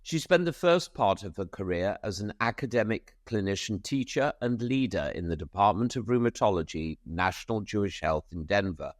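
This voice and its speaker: A man's voice: on the low side, animated, moderate pace, hardly any pauses, no echo, studio quality. A man hosting a medical podcast, reading a formal guest introduction and then chatting with many small hesitations. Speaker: podcast host.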